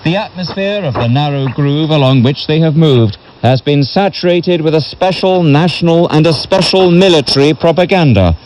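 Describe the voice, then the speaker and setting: A man reading a history book as a narrator in a measured, solemn way, heard over a shortwave radio broadcast. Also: fairly high pitch, darkish tone, rough recording. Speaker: audiobook narrator. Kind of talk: scripted literary history narration.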